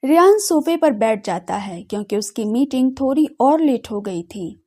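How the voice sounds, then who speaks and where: ऊँची, मुलायम आवाज़ वाली महिला कथावाचक. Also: female voice, fairly high pitch, very animated, normal speed, dark tone. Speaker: narrator. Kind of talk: scripted audio drama narration.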